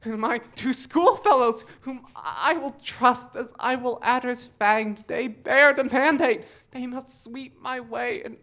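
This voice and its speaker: A woman playing a brooding, bitter young prince in a Shakespearean audio drama, with deliberate, dramatic phrasing. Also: a little high, a bit bright. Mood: bitter, wary. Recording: long echoing reverb.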